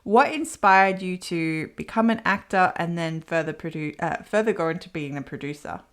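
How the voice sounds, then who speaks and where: A woman's voice: on the low side, lively intonation, normal speed. A woman hosting an interview podcast, introducing and chatting with her guest. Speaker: podcast host.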